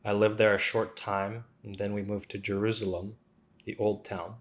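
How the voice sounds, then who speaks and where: A man with a lowish voice speaks for a signing guest in an interview. His delivery is measured and unhurried, and he takes the answers in short steady phrases. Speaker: interpreter voicing a signing artist.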